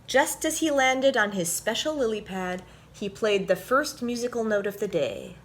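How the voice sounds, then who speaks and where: A woman hosting a story time for children, reading and explaining things simply with expressive, deliberate phrasing. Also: on the high side, a little hiss or hum. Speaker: host and story reader, orchestra violinist.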